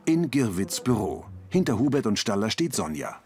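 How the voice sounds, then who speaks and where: Low-pitched male audio describer. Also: very animated, normal speed, a little mellow. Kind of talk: scripted narration, terse present-tense scene description.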